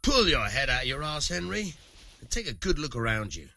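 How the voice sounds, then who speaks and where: An actor playing a cynical, rough-tongued medieval commoner with a husky voice and colloquial, emphatic delivery in a video game. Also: male voice, mid-range voice, big pitch swings, normal speed, bright, forceful tone, no room sound, heavily acted. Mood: bitter, defiant. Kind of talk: video game dialogue acting.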